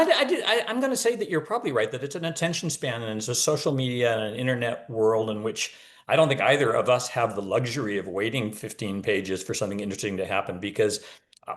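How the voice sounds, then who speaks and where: Man, medium pitch, author guest, casual conversation, halting, thoughtful, wry delivery. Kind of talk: casual interview chat.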